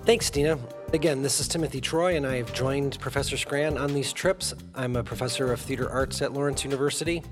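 A man's voice: medium pitch, fairly quick, music in the background. A man, a theater professor, hosting and introducing a podcast interview in a formal, welcoming presenter manner.

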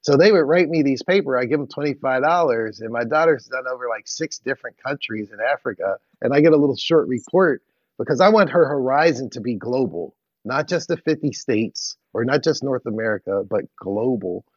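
A man's voice: mid-range voice, expressive, fairly quick, no room sound. A man in his forties co-hosting a podcast interview, chatting and asking long rambling questions with restarts. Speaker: podcast co-host. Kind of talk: casual interview chat. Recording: dull, dry lo-fi sound.

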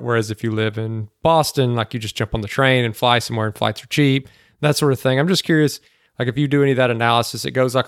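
A man's voice: mid-range voice, dry recording, clean studio sound. A man co-hosting a podcast, asking long, hedging questions filled with "like" and "you know". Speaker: podcast co-host. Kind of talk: casual interview questions.

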